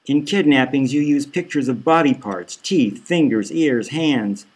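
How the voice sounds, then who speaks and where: A man's voice: mid-range voice, unhurried, darkish tone, husky. A man voicing an earnest, probing male television interviewer in an audiobook, pressing and emphatic. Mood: accusing.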